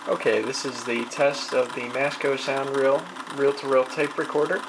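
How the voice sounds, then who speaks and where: Clear machine noise sits behind a gravelly, mid-pitched voice. The speaker gives a casual, unscripted hobbyist demonstration, rambling and half talking to themselves as they go. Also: little pitch movement, moderate pace. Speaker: hobbyist demonstrating vintage tape recorder. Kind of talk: casual off-the-cuff demonstration chat. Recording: clearly noisy home video, machine hum.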